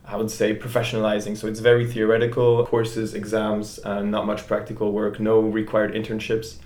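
A man in his twenties from Switzerland, a business student chatting as a guest on a podcast with relaxed talk and frequent restarts. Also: on the low side, a little fast. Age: 20s. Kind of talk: casual interview chat. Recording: roomy echo.

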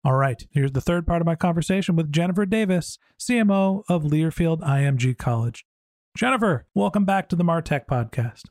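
A man's voice: fairly high pitch, animated, fairly quick, a little mellow, no echo, studio quality. A man hosting a podcast in a casual, conversational interview style, with an informal, self-deprecating way of talking. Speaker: podcast host.

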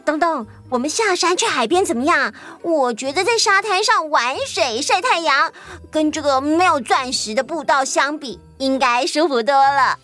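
Woman playing a high-voiced, chatty, boastful sidekick. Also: lively intonation, moderate pace, sharp tone. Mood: coaxing. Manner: exclamatory, self-important. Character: chatty, boastful, whiny fashion-designer friend Xiaoxi. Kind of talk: children's audio story acting. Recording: music under the voice.

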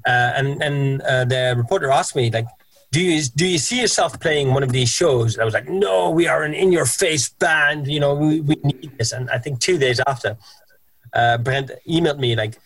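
Halting, chatty man in a band. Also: fairly high pitch, a bit bright, no echo, low-quality recording. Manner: halting, lots of restarts and fillers. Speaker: band front man, podcast guest. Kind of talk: casual podcast chat.

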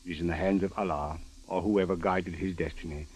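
An actor playing a solemn, courteous Egyptian police official in a 1940s radio drama, speaking in a formal, grave, measured manner. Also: male voice, sits low, fairly even intonation, darkish tone, slight background noise, close to an everyday voice. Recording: muffled old radio broadcast recording.

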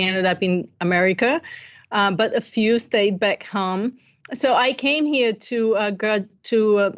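Middle-aged woman, Bulgarian accent, conversational podcast guest, mid-pitched voice, slightly muffled lo-fi sound. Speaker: podcast guest, coach and entrepreneur. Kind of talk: conversational interview, telling life story.

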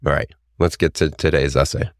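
A man hosting a solo podcast, reading his own essay in an earnest, reflective way. His voice is raspy and hoarse as he gets over an illness. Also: a bass voice, fairly even intonation, fairly quick, no room sound. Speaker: podcast host.

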